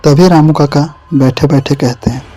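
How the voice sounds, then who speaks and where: A man's voice: fairly high pitch, fairly even intonation, normal speed, dark tone, soft background music. A man giving a scripted narration of a horror story.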